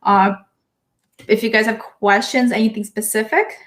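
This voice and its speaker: A woman's voice: on the high side, steady tone, normal speed. A woman in her thirties from Ukraine, a marketing guest on a podcast who chats casually and laughs often. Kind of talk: casual interview chat.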